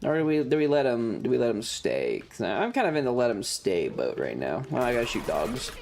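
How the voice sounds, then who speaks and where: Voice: mid-range voice, sing-song, brisk. A streamer giving casual, sarcastic game commentary to chat, playfully crude and full of swearing. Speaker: streamer, host. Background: faint game music.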